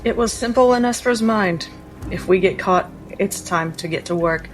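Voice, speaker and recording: A woman playing a tabletop roleplaying game, telling what her character does in the third person with deliberate, building dramatic phrasing. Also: mid-range voice, low-quality recording. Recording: voice over faint background music.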